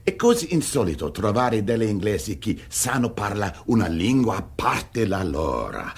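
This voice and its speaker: An actor playing an effusive, courtly Italian dinner guest in a radio comedy, speaking accented, halting English. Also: male voice, on the low side, sing-song, husky, full character voice. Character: exuberant, talkative Italian man, a sociable dinner guest. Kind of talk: radio comedy drama acting.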